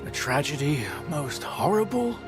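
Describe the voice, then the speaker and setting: An actor playing a philosophical older doctor in a video game, speaking in a measured, solemn, cryptic way. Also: male voice, on the high side, sing-song, over music. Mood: solemn, musing. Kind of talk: dramatic video game cutscene acting.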